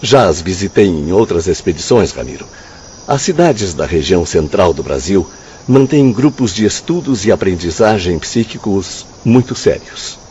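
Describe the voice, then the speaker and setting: Devout spirit missionary, low voice, expressive melody, solemn and formal, radio drama, slightly dull sound. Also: male voice, normal speed, slight background noise. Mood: earnest. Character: earnest, devout male spirit missionary, learned and compassionate.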